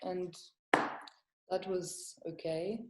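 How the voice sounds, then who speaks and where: A young woman comedian from Estonia, whose first language is Estonian, chatting as an interview guest in a halting, hesitant way with frequent laughs. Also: on the low side, little pitch movement, reverberant, background noise. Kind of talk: casual interview.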